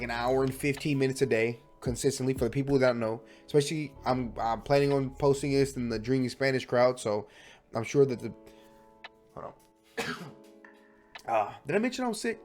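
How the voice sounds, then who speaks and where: Young man, stuffy nose, chatty, self-correcting, mid-pitched voice, faint music behind. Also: moderate pace, a little mellow. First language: English. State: sick, stuffy voice. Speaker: language learning vlogger. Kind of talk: casual rambling monologue.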